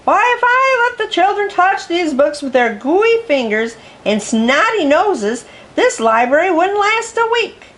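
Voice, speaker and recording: A woman voicing a fierce, possessive female dragon who guards a library, talking in a shrill, scornful, blustering way for a storybook. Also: very animated, unhurried, hardly any pauses, a bit bright, exaggerated voice. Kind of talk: storybook read-aloud.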